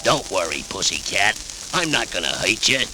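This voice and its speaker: A man playing a big, gruff but kindly bulldog with folksy slang on a vintage children's cartoon record. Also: low-pitched, very animated, normal speed. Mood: reassuring. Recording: noisy vintage 78rpm record.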